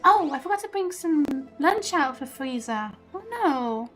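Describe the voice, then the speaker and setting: A woman's voice: sits high, animated, unhurried, a bit bright, faint music behind. A woman livestreamer chatting casually in a giggly, conversational way as she reacts to a game.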